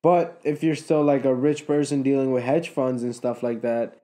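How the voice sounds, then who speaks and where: Young man, podcast co-host, mellow low-key tone, rambling explainer, fillers and restarts, recorded from across a table. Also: steady tone, moderate pace. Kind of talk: casual chat. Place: room with a conference table.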